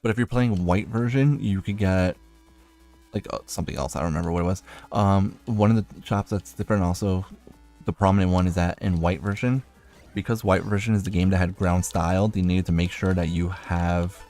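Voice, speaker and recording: A man's voice: low-pitched, darkish tone. A man giving casual video game commentary as a streamer, rambling and thinking aloud as he plays.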